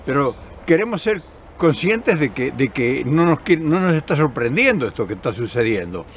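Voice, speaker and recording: Older Argentine man, earnest lively outdoor commentary. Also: medium pitch, normal speed, dull audio, slight background noise. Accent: Argentine Spanish. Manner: earnest, emphatic, many restarts and repeated words, gesturing with hands. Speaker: political commentator. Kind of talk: impassioned direct-to-camera commentary. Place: outdoors in countryside by forest path. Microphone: camera at close range.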